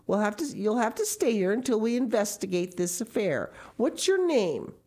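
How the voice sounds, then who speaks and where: A woman voicing a stern, practical middle-aged spinster who speaks briskly and dryly. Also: medium pitch, very animated, dark tone, pitched above the performer's own voice. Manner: brisk, no-nonsense, dry.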